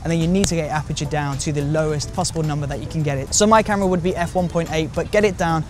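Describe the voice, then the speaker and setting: High-pitched, upbeat man over music. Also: steady tone, rapid-fire. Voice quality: slightly croaky from a cold. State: recovering from a cold. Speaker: video creator host answering viewer questions. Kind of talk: casual Q&A vlog, talking to viewers. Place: outdoors in public urban spots.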